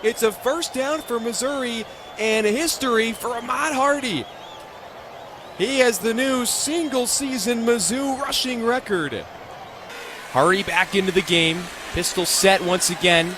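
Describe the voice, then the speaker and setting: Young American man, high voice, radio football play-by-play, punchy clipped phrasing, excitable, stadium noise behind. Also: measured pace. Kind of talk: live football play-by-play commentary. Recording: live stadium ambience noise.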